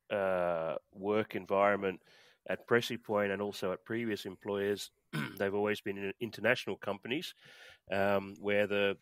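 Australian man, smooth and low. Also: a bit bright, no room sound. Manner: hesitant, many fillers and restarts. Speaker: podcast guest. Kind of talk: casual interview chat.

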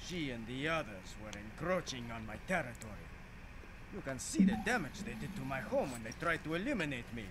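An actor playing an urbane, sardonic vampire doctor in an audio drama, formal and theatrical with mocking politeness. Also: male voice, mid-range voice, very animated, brisk, background noise, lightly acted. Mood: smug, composed.

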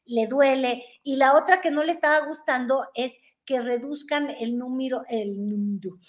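A woman's voice: high voice, moderate pace. A Mexican woman in her thirties, a guest commentator chatting playfully and teasingly about politics over a video call from a room at home. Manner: playful, teasing, gesturing with hands. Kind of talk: casual political chat.

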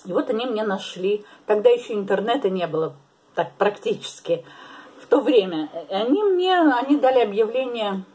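A woman's voice: mid-range voice, expressive, moderate pace, mellow, husky, low-quality recording. An older woman from Latvia, interviewed in a small living room and speaking frankly, with laughing asides, to a camera across the table. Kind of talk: casual interview.

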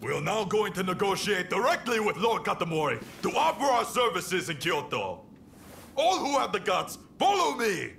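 A rallying samurai commander in a game. His high, gravel-edged voice swings widely as he declaims loudly over faint ambience. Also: sing-song, moderate pace, slight background noise, heavily acted. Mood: rousing. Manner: declamatory, loud. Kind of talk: video game cutscene voice acting.

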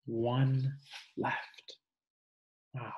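Guy in his 30s reading a kids nature book out loud, slow, pauses and muses a bit. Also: mid-range voice, level tone, lo-fi sound. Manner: deliberate, pausing, musing asides. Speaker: read-aloud reader. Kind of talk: reading a children's nonfiction picture book aloud, with asides. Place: small indoor room at home.

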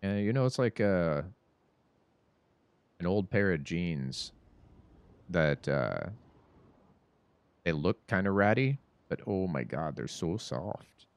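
A man's voice: sits low, big pitch swings, slow pace, long pauses, clean tone. A man in his thirties giving rambling, self-mocking commentary while streaming a horror game from his home setup. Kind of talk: casual gameplay commentary.

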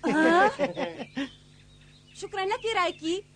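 A woman's voice: extremely high pitch, slow. An eager young boy student. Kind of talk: Arabic cartoon dubbing.

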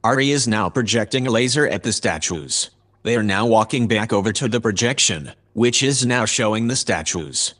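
A man playing a calm observer aboard a spaceship, narrating a sci-fi audio drama in a measured, matter-of-fact way. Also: lowish voice. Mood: calm, factual.

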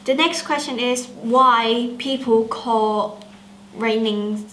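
A woman's voice: a little high, fairly even intonation, slow, fairly bright tone, echoey room, slight background noise. A woman from Thailand, presenting casually with many hesitations and fillers. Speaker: student presenter.

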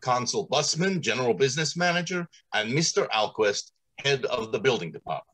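A man's voice: medium pitch, lively intonation, brisk, a bit bright, rough recording. A man acting a genial, grandiose, idealistic factory director in an old-time radio drama, with declamatory delivery. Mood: formal, genial.

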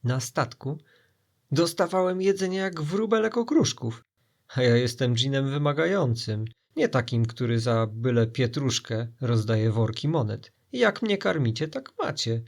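A man's voice: mid-range voice, animated, a little slow, creaky. A man voicing a demanding, theatrical fake genie who speaks with grand confidence and mock indignation in a storybook tale.

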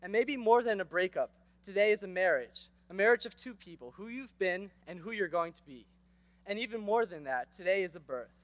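A man's voice: sits high, lively intonation, brisk, a bit bright, no echo. A teenage boy giving a prepared graduation speech at a podium microphone on an outdoor stage, earnest and rhetorical with wry asides. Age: teens. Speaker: graduation speaker.

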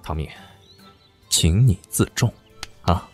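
A man's voice: sits low, lively intonation, takes it slowly, a little mellow. A man acting a flustered, stammering young prince regent in a costume radio drama, theatrical and exasperated. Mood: nervous, stammering. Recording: echoey reverb with music behind.

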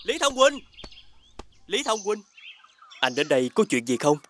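A man playing an honest, trusting young woodcutter hero in an animated fairy tale, earnest and obliging, with a southern Vietnamese accent. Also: high voice, a little slow, slight background noise, exaggerated voice.

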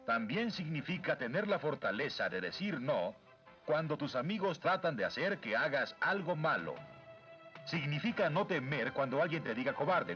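A man voicing a calm, righteous young warrior hero in a Latin American Spanish cartoon dub, firm and earnest. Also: fairly high pitch. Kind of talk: 1980s cartoon hero dubbing. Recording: dull old cartoon soundtrack with music.

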